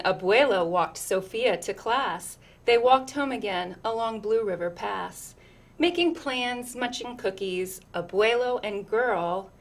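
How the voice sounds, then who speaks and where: Slow-paced, higher-voiced woman reading to children. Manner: animated, engaging listeners with questions and asides. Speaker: storyteller reading a picture book to children. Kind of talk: expressive children's read-aloud with chatty asides.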